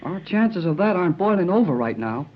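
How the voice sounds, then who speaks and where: An actor playing a plucky youngster with slangy, colloquial speech and a husky voice in an old-time radio serial. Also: mid-range voice, sing-song, fairly quick. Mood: grumbling, downcast. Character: spirited, slangy, plucky youngster named Jerry. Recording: muffled vintage radio recording.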